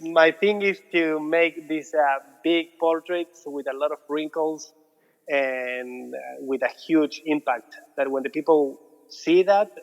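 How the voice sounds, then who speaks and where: Man in his thirties, Argentine accent, fairly high voice, lingering reverb. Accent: Argentine Spanish. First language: Spanish. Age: 30s. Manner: relaxed, repeats words, non-native phrasing. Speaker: guest, muralist. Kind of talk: casual interview. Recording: dull audio with long reverb.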